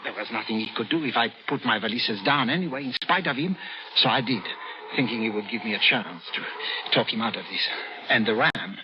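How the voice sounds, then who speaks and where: A man's voice: medium pitch, a little fast, lightly acted. An actor playing an anxious, exasperated man who tells his wartime tale in dramatic old-time radio style. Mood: tense narrating. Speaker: narrator and lead character. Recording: noisy, dull old radio recording.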